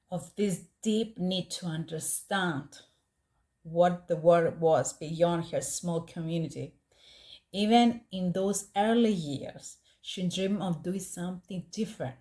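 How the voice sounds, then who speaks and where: Slow, low-pitched Greek-accented woman narrator. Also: darkish tone. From Greece. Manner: earnest, measured storytelling with personal asides. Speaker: podcast host. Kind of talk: scripted storytelling podcast narration.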